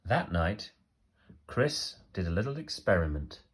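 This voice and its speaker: A man reading a children's story aloud like a teacher, expressively, with emphatic phrasing and pauses for effect. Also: sits low, big pitch swings, breathy edge.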